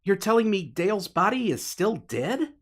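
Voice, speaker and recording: A man's voice: sits high, very animated, normal speed, voice pushed up. A man voicing a panicky, incredulous man in an audiobook, exasperated and questioning. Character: Jonah, panicky, incredulous young man arguing with the devil.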